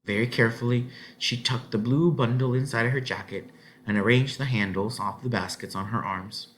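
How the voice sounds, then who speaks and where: A man narrating a children's novel aloud in an expressive storybook style. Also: medium pitch, normal speed, slight background noise.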